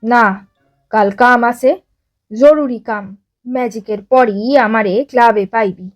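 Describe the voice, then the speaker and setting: Casting: female reader as brash boastful teen boy, East Bengali dialect, high voice, unhurried, audio storybook over music. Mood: brusque. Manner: blustering, emphatic.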